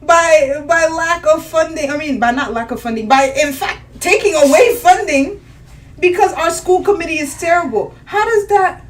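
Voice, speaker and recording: A woman co-hosting a casual podcast, animated and quick to laugh, with a voice that turns raspy at times. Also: very high-pitched. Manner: animated, exclaiming, laughing often. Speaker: podcast co-host. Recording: distant mic, faint ambient noise.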